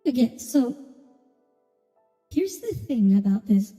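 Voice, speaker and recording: A woman with a very soft, dark tone and a slightly high pitch comments casually on a game. She is blunt and opinionated, and her voice carries a long reverb over music. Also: very animated, slow. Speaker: game streamer.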